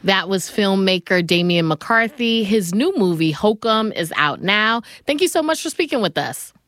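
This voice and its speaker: A woman's voice: medium pitch, expressive, normal speed, a bit bright, no echo. A woman hosting a radio interview in a conversational broadcast style.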